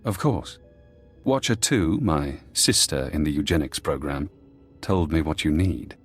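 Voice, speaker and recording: A man playing a paranoid, calculating former spy held prisoner, speaking deliberately and cryptically in a video game. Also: a bass voice, expressive, husky, faint music behind, full character voice. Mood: sly, measured. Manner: deliberate, cryptic, coolly commanding. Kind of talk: scripted game dialogue.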